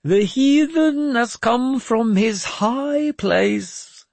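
A man voicing a mad, sly, self-proclaimed bishop who talks in a high, slow, sing-song preaching way. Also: vocal fry, darkish tone, no room sound, heavily acted. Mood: intoning.

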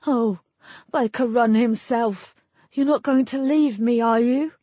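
A woman voicing a desperate, lovesick lord in an audiobook, pleading in a wounded, breathy way. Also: fairly high pitch, unhurried, mellow, dry recording, pitched above the performer's own voice. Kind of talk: audiobook character acting.

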